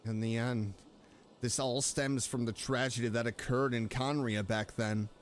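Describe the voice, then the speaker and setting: A man's voice: mid-range voice, steady tone, unhurried, slight background noise. A man streaming a game, giving casual, reflective commentary and empathetic reactions aloud.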